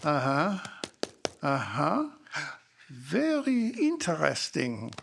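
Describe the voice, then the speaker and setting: A man's voice: on the high side, huge pitch swings, very slow, vocal fry, background noise, exaggerated voice. A man playing a dapper, shrewd Belgian detective with a French-speaking Belgian accent, formal and theatrical, in a live audio drama. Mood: intrigued. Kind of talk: live radio-style audio drama acting. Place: library performance before a live audience.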